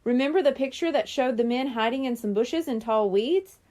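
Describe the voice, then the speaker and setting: A woman's voice: a little high, moderate pace, a little mellow, close to an everyday voice. A woman voicing a clever, confident young girl planner in a children's storybook.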